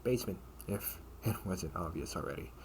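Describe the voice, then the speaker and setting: Noisy home-recorded audio roleplay: male actor as menacing big-brother captor, slightly low voice, mocking, casual, protective. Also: animated, darkish tone. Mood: threatening. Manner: casual, halting, mocking, breathy asides. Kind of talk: amateur audio roleplay acting, one-sided dialogue.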